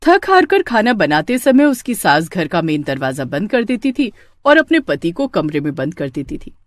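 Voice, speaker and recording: A woman's voice: on the low side, big pitch swings, moderate pace, dry recording. A woman narrating a scripted moral tale as a storyteller.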